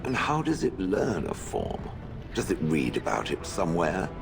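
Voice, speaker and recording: An actor playing an ancient stone golem in a video game, sardonic and haughty, with dry, formal phrasing. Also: male voice, low voice, huge pitch swings, moderate pace, creaky, music in the background, heavily acted. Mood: curious, skeptical. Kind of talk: video game character acting.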